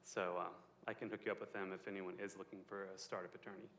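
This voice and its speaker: Male event host on a handheld mic, low-pitched, conversational, reverberant hall. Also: flat delivery, fast talker, a bit bright, a little hiss or hum. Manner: conversational, a bit apologetic. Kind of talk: live on-stage interview. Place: event space with a small audience. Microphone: handheld microphone. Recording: roomy live venue.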